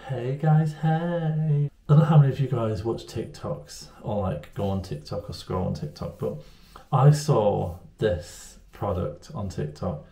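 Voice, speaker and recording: A man's voice: medium pitch, creaky, mellow. A man, chatting to camera at home in a rambling, self-mocking way. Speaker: vlogger. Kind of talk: casual talk to camera. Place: indoors at home, small room. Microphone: camera at arm's length. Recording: roomy echo.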